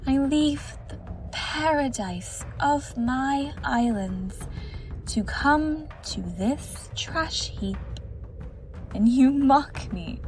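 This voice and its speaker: A woman's voice: high-pitched, lively intonation, very slow, darkish tone, faint music behind, exaggerated voice. A woman voicing a haughty, contemptuous immortal mermaid empress in a fantasy audio drama, imperious and theatrical. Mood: disdainful.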